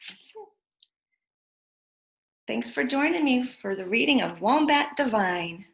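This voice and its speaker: A woman reading a picture book aloud to young children with expressive storytelling. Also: a little high, slow pace, gravelly. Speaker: preschool storyteller. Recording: echoey room.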